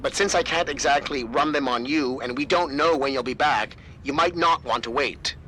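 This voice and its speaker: An actor playing a snippy, know-it-all tech specialist in a video game, curt and condescending. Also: male voice, medium pitch, moderate pace, sharp tone, slight background noise, close to an everyday voice, poor audio. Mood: curt, explaining.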